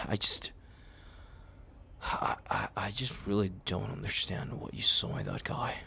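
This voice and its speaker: A man playing a jealous, tender young best friend confessing love in an intimate ASMR roleplay, halting and murmuring with breathy sighs. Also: on the low side, very animated, bright, forceful tone, a little hiss or hum, exaggerated voice. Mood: frustrated, hurt.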